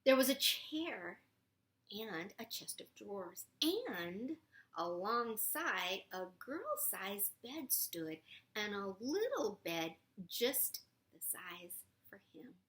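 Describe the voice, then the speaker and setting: A woman reading a storybook aloud to a young child in a playful, expressive way, with chatty asides and drops into whispers. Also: medium pitch, slow pace. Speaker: storybook reader.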